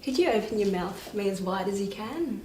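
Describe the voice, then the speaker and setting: A woman's voice: mid-range voice, expressive, a little fast, darkish tone. A woman speaking tentatively and politely as an exam candidate, examining a patient and presenting clinical findings. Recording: echoey, distant room recording with noise.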